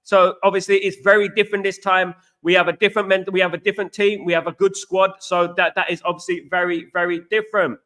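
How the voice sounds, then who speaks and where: English guy from england chatting on a stream, high bright voice, says hi to everyone by name. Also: level tone, quick pace, hardly any pauses. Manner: chatty, greets viewers by name, repeats himself. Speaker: host. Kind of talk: casual livestream chat.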